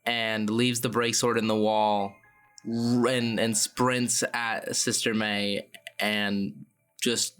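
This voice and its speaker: A man, a tabletop player, improvising casual narration in an excitable, stammering way. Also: on the low side, slow, smooth, soft background music. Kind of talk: improvised, casual actual-play narration and in-character speech.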